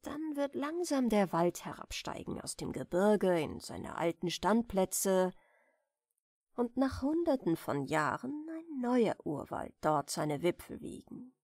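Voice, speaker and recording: A woman narrator softly reading a bedtime story in a gentle, soothing manner. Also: mid-range voice, no room sound, studio quality. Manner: gentle, soothing, unhurried delivery. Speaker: audiobook narrator.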